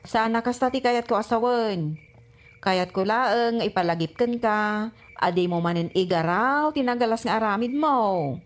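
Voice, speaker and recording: A woman's voice: on the high side, normal speed, close to an everyday voice. A woman with an Ilocano accent playing an earnest, insistent wife and mother in a comedy radio drama. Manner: scolding, insistent.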